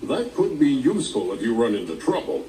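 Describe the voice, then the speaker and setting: A man voicing a gentle elder dragon giving gameplay hints. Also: medium pitch, very animated, fairly quick, a little hiss or hum, close to an everyday voice. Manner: gentle, advising. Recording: echoey distant game audio with music.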